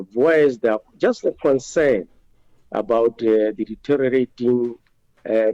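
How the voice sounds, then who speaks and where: A man with a Botswanan accent, answering as a politician in an interview in a halting, deliberate way, with many hesitations. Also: mid-range voice, mellow, dry recording, lo-fi sound. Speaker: member of parliament being interviewed.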